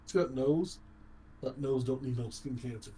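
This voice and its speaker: A man's voice: moderate pace, warm tone. Sweary guy bantering on a noisy stream. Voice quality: low baritone voice. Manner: sweary, repeats phrases. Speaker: co-host of a game livestream. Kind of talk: casual banter over gameplay. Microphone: online voice call. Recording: voice chat with clear background noise.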